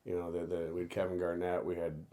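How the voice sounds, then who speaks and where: A man's voice: low-pitched, quick pace. A young man from Minnesota, a stand-up comedian, telling stories in a casual podcast chat and acting out quotes. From Minnesota, USA. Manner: animated storyteller, acts out quotes in other voices.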